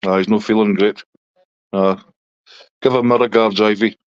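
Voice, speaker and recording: A man's voice: lowish voice, fairly even intonation, normal speed, dry recording, lo-fi sound. A middle-aged man from Scotland with a Scottish accent, chatting casually on a live stream and answering viewers' comments.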